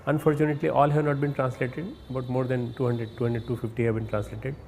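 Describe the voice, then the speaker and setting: Man, Indian accent, mellow voice, hesitant, earnest sermon, faint background noise. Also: medium pitch, level tone, quick pace. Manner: hesitant, repeats and restarts words. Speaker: spiritual leader. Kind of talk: recorded spiritual message.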